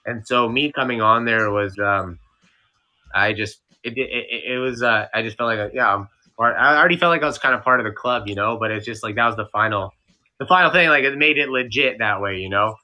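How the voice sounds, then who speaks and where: A man's voice: mid-range voice, brisk, sharp tone. A young man from Canada, a streamer guest chatting in an interview, rambling and restarting with lots of fillers. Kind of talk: casual interview chat.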